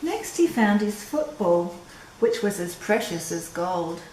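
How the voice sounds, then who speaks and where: An older woman reading a children's storybook aloud in a measured, expressive way. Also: medium pitch. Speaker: storyteller reading a picture book. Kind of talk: scripted storybook narration for children. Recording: roomy echo with clear background noise.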